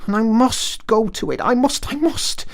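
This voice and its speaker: A man reading a storybook aloud and voicing a timid male mole in a high, strained, pleading voice. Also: animated, normal speed.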